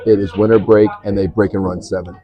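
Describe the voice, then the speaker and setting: A man's voice: fairly low pitch, fairly even intonation, fast talker, highs cut off. A man commentating on a pool match in a casual, jokey way, swearing and laughing often. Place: pool hall.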